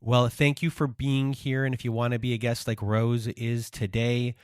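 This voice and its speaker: A man's voice: mid-range voice, little pitch movement, normal speed, darkish tone, clean tone, dry recording. Earnest male podcast host, dry sound. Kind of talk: podcast introduction.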